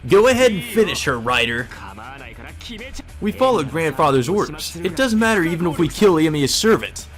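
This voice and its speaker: Visual novel villain: arrogant young male, high and shrill, scornful, animated delivery. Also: normal speed, faint music behind. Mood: smug, taunting. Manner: taunting, scornful. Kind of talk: visual novel character dub.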